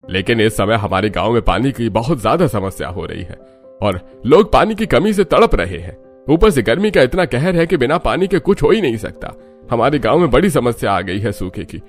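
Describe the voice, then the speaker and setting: A man's voice: medium pitch, big pitch swings, a little fast, soft background music, lightly acted. A man voicing a worried village man in a cartoon story, arguing earnestly and insistently. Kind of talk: Hindi cartoon story dialogue.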